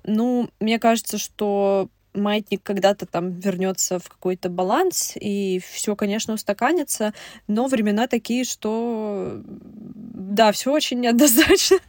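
A woman from Russia co-hosting a podcast, talking casually in quick asides with lots of fillers. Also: mid-range voice, a little mellow, no room sound, clean studio sound. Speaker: podcast co-host.

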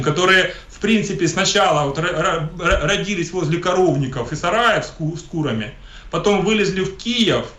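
A man's voice: sits high, sharp tone. A man from Ukraine, an interview guest giving emphatic, polemical political commentary and often repeating himself. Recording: echoey room.

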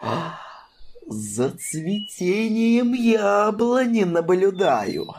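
A woman's voice: sing-song, a little mellow, slight background noise. Woman doing a drawling, lazy cat. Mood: dreamy. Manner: slow, drawling, teasing, with sudden squeaky rises. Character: drowsy, sly male cat lounging under a tree. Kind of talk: children's audio drama.

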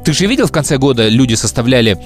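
Man, medium-pitched, podcast co-host, casual chat, punchy emphatic phrasing, soft music behind. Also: animated, racing.

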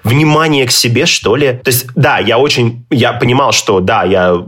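A man who teaches Swedish, talking through his experiences as a podcast guest, reflective, pausing and restarting with many fillers. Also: medium pitch, fairly bright tone. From Russia. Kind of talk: casual interview chat.

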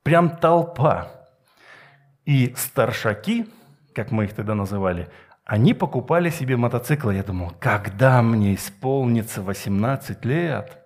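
A middle-aged man preaches a Sunday sermon in a mid-pitched voice. He speaks emphatically, leaving deliberate pauses and posing rhetorical questions to his listeners. His delivery mixes scripture with personal storytelling. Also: expressive. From Soviet Union.

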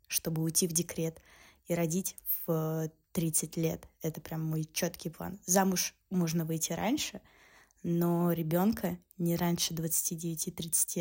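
A woman in her twenties chatting casually as a podcast co-host, laying out her views in measured steps. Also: on the low side, vocal fry, a little mellow. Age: 20s.

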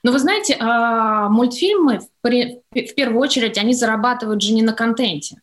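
A woman answering as an interview guest, speaking diplomatically and choosing her words with some hesitation. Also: on the high side. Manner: measured, diplomatic, hesitant phrasing. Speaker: interview guest, animation studio executive.

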